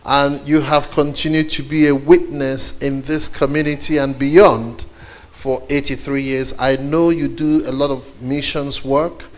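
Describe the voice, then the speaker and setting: A man with a Nigerian accent, a native Igbo speaker, preaching a sermon to a congregation as a guest, deliberately, with pauses and repeated phrases for emphasis. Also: mid-range voice, little pitch movement. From eastern Nigeria.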